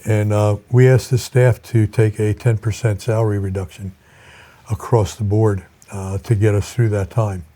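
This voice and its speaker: An older man speaking as a college president in an interview, reflective and measured, with halting pauses. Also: on the low side, mellow.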